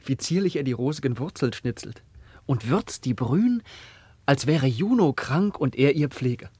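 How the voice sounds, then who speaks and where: An actor playing a proud, fearless young prince of the wilds in a classical radio play, speaking in a poetic, declamatory way. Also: male voice, mid-range voice, expressive, normal speed, a little mellow, breathy edge, no echo. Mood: admiring.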